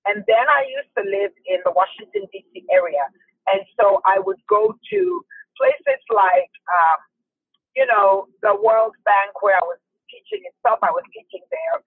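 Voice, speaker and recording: A woman from Ghana, telling her story as an animated interview guest, her pitch jumping high often. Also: measured pace, husky, no room sound. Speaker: guest, fitness founder and dance instructor. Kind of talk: casual interview chat. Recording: tinny phone line, dry.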